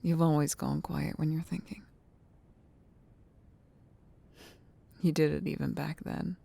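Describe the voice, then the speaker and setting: Woman acting soft, very creaky nostalgic childhood friend. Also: deep voice, fairly even intonation, exaggerated voice. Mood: wistful, tender. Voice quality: soft, breathy near-whisper with creaky fry. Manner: tender, reflective, unhurried. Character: gentle, nostalgic female childhood friend in an old library. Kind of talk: ASMR roleplay, soft intimate talk.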